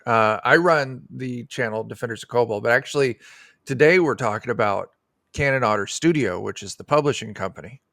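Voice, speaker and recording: Man, thirties, medium pitch, casual rambling guest, jokey, no room sound. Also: fairly quick, vocal fry, bright, forceful tone, dry recording, clean studio sound. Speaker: guest, streamer. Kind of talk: casual chat. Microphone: close desk microphone on a video call.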